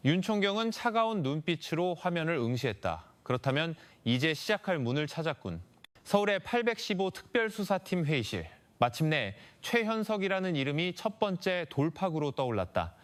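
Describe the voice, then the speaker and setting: A man's voice: a little high, very animated, normal speed. A man narrating a scripted crime story in a serious, suspenseful, dramatic storytelling manner.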